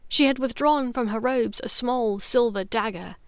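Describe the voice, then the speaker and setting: A woman's voice: a little high, moderate pace, clean tone, no echo. A woman reading dramatic fiction as an audiobook narrator.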